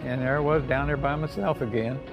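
A man's voice: mid-range voice, steady tone, fairly quick, creaky, muffled recording, over music. An elderly American man, a war veteran, reminiscing in a plainspoken, folksy way and often repeating himself. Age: 80s or older. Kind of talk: oral history reminiscence.

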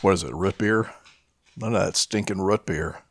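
Gravelly man co-hosting a podcast. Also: deep voice, normal speed, no room sound, clean studio sound. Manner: profane, wry. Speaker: podcast co-host. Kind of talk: casual comic banter.

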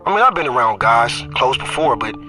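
An actor playing a guarded, introspective young football player, confiding in a casual, understated way as in an audio drama. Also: male voice, medium pitch, expressive, a little fast, natural voice. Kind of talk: confessional first-person drama narration and naturalistic dialogue. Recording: studio drama with music bed underneath.

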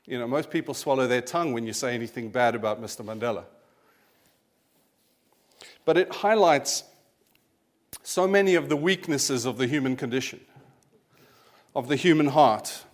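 A man's voice: medium pitch, normal speed, pauses often. A South African man in his forties, a pastor giving a conversational sermon with personal stories and self-deprecating asides. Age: 40s.

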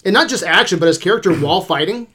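Guy w a higher voice talking fast about movies, laid back. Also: breathy edge. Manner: relaxed, says "man" and "yeah" often. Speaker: podcast co-host. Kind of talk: casual chat.

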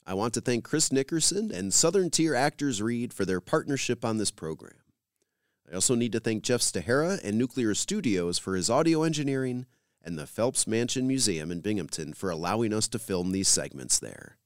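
A man's voice: on the low side, expressive, moderate pace, dry recording, studio quality. A man hosting a radio program, reading scripted introductions and credits as a presenter.

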